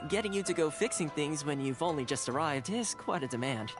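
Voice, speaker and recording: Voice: medium pitch, expressive, fairly quick, close to an everyday voice. An actor playing an obliging, knowing local in a video game, speaking conversationally. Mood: helpful. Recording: game music behind the voice.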